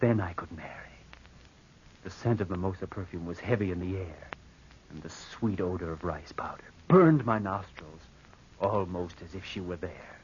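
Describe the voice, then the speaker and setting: An actor playing a spendthrift young nephew in a vintage radio drama, melodramatic and self-pitying, with a scheming streak. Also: male voice, sits low, lively intonation, normal speed, muffled recording, slight background noise. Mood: brooding, sinister. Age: young adult. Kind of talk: 1940s radio acting with first-person narration.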